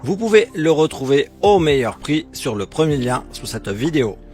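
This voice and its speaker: A man reads a scripted product comparison as a presenter, in a measured, explanatory sales style. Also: on the high side, sing-song, a little mellow. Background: background music.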